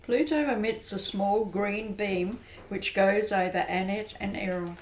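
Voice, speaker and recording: A woman's voice: mid-range voice, unhurried, a little hiss or hum, natural voice. A woman reading scripted narration for a radio play as its narrator. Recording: roomy, echoey amateur recording.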